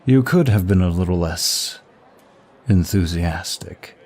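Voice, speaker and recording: Man voicing a deep, husky, languid demon of lust. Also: a little mellow, full character voice. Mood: smug, reminiscing. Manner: languid, teasing, drawn-out delivery. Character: suave, teasing male demon prince of lust, bored and sadistic. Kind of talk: ASMR roleplay acting.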